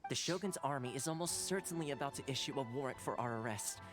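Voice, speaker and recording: An actor playing a loyal young retainer in an anime video game, speaking in a measured, courteous and reassuring way. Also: male voice, mid-range voice, animated, lightly acted. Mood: calm, informative. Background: game music.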